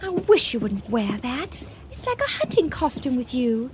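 High-pitched, fretful young confidante. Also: female voice, big pitch swings, moderate pace. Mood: worried. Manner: fretful, cautioning. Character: giddy, cautious young woman, worrying confidante. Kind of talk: radio drama acting. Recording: noisy 1980 radio drama recording.

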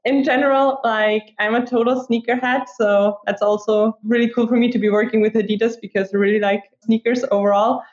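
A woman's voice: on the high side, steady tone, a little fast, few pauses, a little mellow. A woman in her twenties with an Austrian accent, chatting informally as an interview guest and peppering her answers with filler words like "like". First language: German. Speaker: podcast guest, app marketer. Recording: muffled, roomy remote recording.

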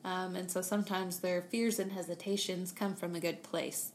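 A woman's voice: on the low side, steady tone, normal speed, a little hiss or hum. A woman co-hosting a casual faith-based podcast, speaking in an encouraging way with playful banter. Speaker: podcast co-host.

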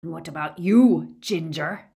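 A woman voicing a gloating, savage male werewolf villain in an audiobook, with a menacing, rasping growl. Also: deep voice, a little slow, warm tone, full character voice. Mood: snarling menace. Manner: menacing, snarling.

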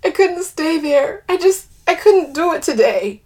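A woman's voice: very high-pitched, lively intonation, unhurried, darkish tone, pitched above the performer's own voice. A woman voicing a distraught, crying woman in an audiobook, pleading and confessing in broken, stammering gasps. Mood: tearful. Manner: gasping, broken stammering delivery. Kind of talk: audiobook character dialogue.

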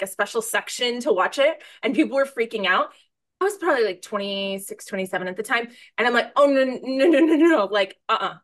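A woman with a mid-pitched voice hosts a chatty podcast interview. She is effusive and emphatic, piling on words for stress and wandering into tangents in a very informal way. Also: fairly bright tone. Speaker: podcast host.

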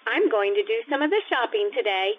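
A woman's voice: on the high side, very animated, a little fast, phone-quality sound. A woman playing a sweet, earnest teenage schoolgirl in an old-time radio comedy, polite and naive. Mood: cheerful, eager. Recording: thin, band-limited radio-style recording.

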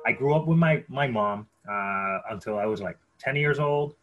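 A man's voice: mid-range voice, expressive, moderate pace, fairly bright tone, lo-fi sound, soft background music. A man in his thirties from Puerto Rico, speaking English with a Puerto Rican accent as a podcast guest. He tells personal stories in a conversational way, doubling back on words and phrases as he thinks.

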